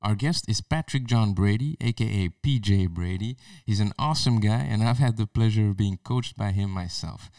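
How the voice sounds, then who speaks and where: A man's voice: lowish voice, normal speed, few pauses, no room sound. A male podcast host introducing a guest and asking earnest, encouraging questions. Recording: dry, mellow, slightly distant mic.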